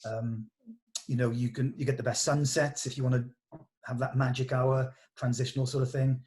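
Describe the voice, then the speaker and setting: A man's voice: medium pitch, flat delivery, normal speed, darkish tone. A man talking casually in a production meeting as a film director, hesitantly thinking aloud.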